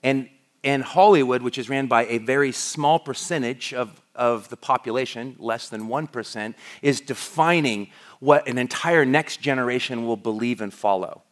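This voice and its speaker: A man's voice: medium pitch, moderate pace. A middle-aged man from the United States, preaching a sermon as a pastor in a conversational way, often restarting his sentences. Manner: conversational, with frequent restarts and fillers.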